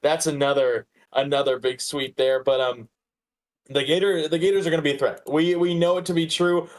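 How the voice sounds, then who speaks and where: A man's voice: on the high side, steady tone, fairly quick, poor audio. A man co-hosting a sports podcast, giving casual, conversational analysis and repeating phrases as he thinks.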